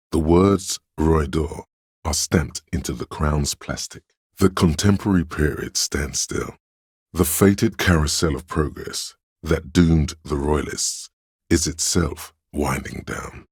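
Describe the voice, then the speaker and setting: An extremely deep, gravel-edged scholarly voice from inside the head, narrates for a game. It is very husky and recorded dry and close. The delivery is measured and erudite, grave with a dry sardonic edge. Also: male voice, no echo, exaggerated voice. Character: scholarly inner encyclopedia voice, grave, dryly musing trivia narrator.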